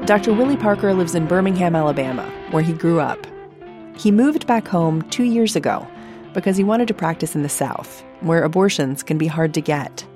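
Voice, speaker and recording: A woman's voice: on the low side, expressive, normal speed, music in the background. An American woman hosting a podcast, narrating from a script and interviewing, recorded on a close studio microphone. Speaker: podcast host.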